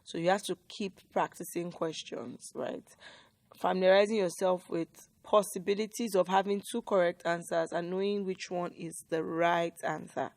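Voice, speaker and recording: A woman's voice: fairly low pitch, a little mellow, no echo. A woman with a Nigerian accent giving casual, conversational advice as a co-host, explaining emphatically and often checking in with "right?". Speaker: HR professional and talk co-host.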